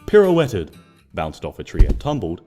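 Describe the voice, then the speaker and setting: A man narrating a scripted story expressively, in a gentle storybook manner. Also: low-pitched, wildly swooping pitch, normal speed, faint music behind. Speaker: fan fiction narrator.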